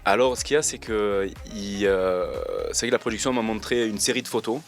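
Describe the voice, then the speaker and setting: Laid-back guy, low voice. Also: fairly quick, faint music behind. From Marseille, France. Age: 20s. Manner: relaxed, slangy, hesitant with many fillers. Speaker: reality TV contestant interviewed. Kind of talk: casual interview answers.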